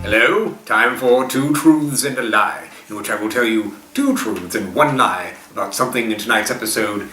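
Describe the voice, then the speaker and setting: A man's voice: fairly low pitch, moderate pace, few pauses, pushed and bright, soft background music. A man presenting a retro TV show with scripted remarks, recorded from off the mic with noise behind him. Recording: echoey, distant mic with background noise.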